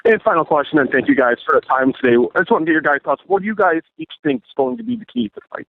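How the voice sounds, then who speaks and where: A man's voice: a little high, lively intonation, very fast, creaky, no room sound. Polite man on narrowband phone line. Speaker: sports journalist asking questions. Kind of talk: press conference questioning. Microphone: telephone.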